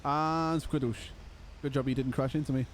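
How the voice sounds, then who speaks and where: A hoarse young man, chatting casually and laughing as he streams a roleplay game. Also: mid-range voice, fairly even intonation, moderate pace, slight background noise. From Liverpool, England. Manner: chatty, laughs often, calls people brother. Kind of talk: casual live-stream chat.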